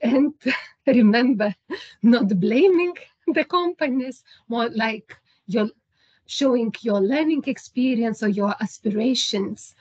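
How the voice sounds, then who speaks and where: Brief: breathy, higher woman, career advisor, upbeat and encouraging, lo-fi video call. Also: takes it slowly, a little mellow, no echo. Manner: encouraging, gives advice with light laughs. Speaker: seminar presenter, career advisor. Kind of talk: online seminar Q&A.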